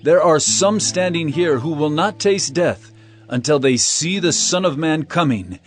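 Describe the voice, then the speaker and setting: Admonishing holy teacher, declamatory, animated intonation, audio Bible drama, music underneath. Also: male voice, a little high, unhurried. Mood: earnest. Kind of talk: dramatized scripture reading.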